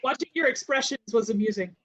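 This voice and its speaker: A woman's voice: fairly high pitch, quick pace, a bit bright, muffled recording. A woman chatting casually as a player in a tabletop game, asking about rules and her moves. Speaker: player and co-host.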